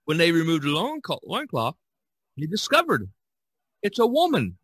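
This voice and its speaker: A man in a casual podcast discussion about art, telling stories in a halting, repetitive way. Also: fairly high pitch, wildly swooping pitch, a bit bright, slightly rough, no room sound. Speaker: podcast co-host.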